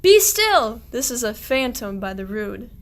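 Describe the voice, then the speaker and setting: Excitable, suspicious shepherd played by a woman, high voice, slow theatrical verse for comic radio play. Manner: theatrical, exclamatory rhymed verse. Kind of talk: verse radio play acting of a medieval comedy.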